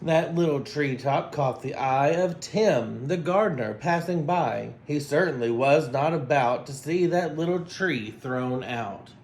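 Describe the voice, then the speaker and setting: Male teacher for storybook read-aloud: higher-pitched, rhythmic, chatty asides, faint background noise. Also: lively intonation, measured pace, hardly any pauses, darkish tone, reverberant, poor audio. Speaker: teacher reading to students. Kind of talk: expressive picture-book read-aloud.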